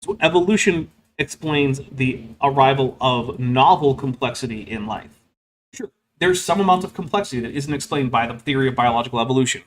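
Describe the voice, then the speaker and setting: A man's voice: mid-range voice, a little fast, slight background noise, rough recording. A man hosting a stream, reading super chats and commenting on a clip in a casual, wry way, filling his talk with "like" and "um". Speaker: host.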